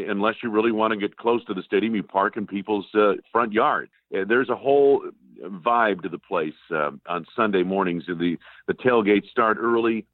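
Deep-voiced man on a tinny phone line. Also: dry recording. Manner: conversational, anecdotal, many fillers. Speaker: radio play-by-play broadcaster, guest. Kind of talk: casual phone interview. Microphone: telephone.

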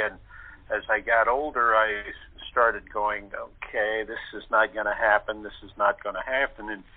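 A mature man, a radio-play performer, chatting as a guest in an earnest, halting way. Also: fairly low pitch. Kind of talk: casual chat. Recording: tinny telephone line.

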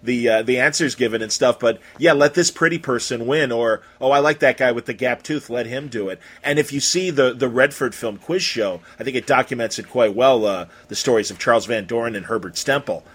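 A man's voice: mid-range voice, a little fast, hardly any pauses, a bit bright, slightly rough. A man hosting a casual interview, talking in an effusive, rambling way and stumbling over his phrases. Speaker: interview host.

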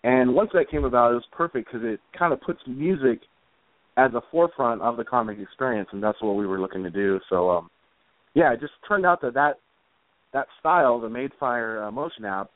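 A man's voice: mid-range voice, lively intonation, fairly quick, dry recording. A man chatting informally and a little ramblingly as an interview guest, over a tinny line. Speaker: interview guest, comic author and musician. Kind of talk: casual conversational interview.

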